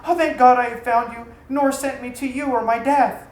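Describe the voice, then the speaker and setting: A woman's voice: high-pitched, fairly even intonation, normal speed, pitched above the performer's own voice, lightly acted. A woman voicing a devoted, practical freed slave woman in a storybook tale, speaking formally and persuasively. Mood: relieved. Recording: echoey room, faint background noise.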